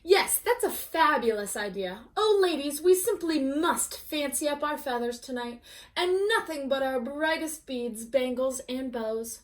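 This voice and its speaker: A woman voicing a vain, excitable farm hen in a children's storybook, gushing and theatrical. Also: high-pitched, measured pace. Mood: excited, boastful. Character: vain, excitable glamour-loving farm hens.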